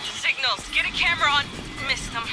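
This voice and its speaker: A woman's voice: high voice, a little fast, over music, close to an everyday voice, poor audio. A woman playing a tough, profane marine squad leader in a video game, brusque, slangy and commanding. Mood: alert. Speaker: fire team leader.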